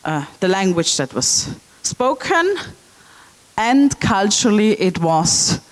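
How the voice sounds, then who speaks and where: A woman from South Tyrol giving a public lecture with slides, speaking slowly with frequent ums and check-in okays. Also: medium pitch, sing-song, low-quality recording. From South Tyrol, Italy.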